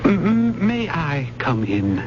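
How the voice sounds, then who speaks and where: A man's voice: fairly high pitch, very animated. An actor playing a nervous, obsessive, genteel male poetry professor who stammers with fussy politeness in an old-time radio drama. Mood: nervous, polite. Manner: halting, stammering, fussily polite. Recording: muffled, noisy old radio recording.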